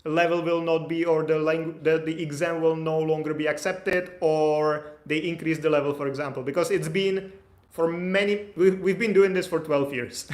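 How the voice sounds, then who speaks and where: Guy in his 30s doing a live q&a, reads chat questions out then rambles through the answers with lots of uhs, echoey room. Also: fairly high pitch. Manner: reads out viewer questions, then answers with frequent fillers and restarts. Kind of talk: casual live stream Q&A.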